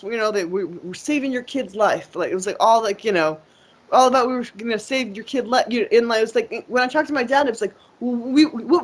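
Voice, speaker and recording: A woman guest in a casual interview, talking in a rambling, profane way with lots of fillers and restarts. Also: on the high side. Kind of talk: casual conversation.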